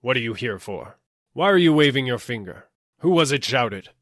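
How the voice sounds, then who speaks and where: Man acting a pompous, blustering police superintendent, animated. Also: mid-range voice, normal speed, dry recording, clean studio sound, voice pushed up. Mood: stern, indignant. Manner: stern, barking, self-important. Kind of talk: audiobook character acting.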